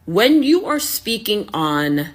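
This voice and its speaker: American commentator, reaction video, critical, measured delivery, mid pitch. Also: very animated. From United States. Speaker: online commentator.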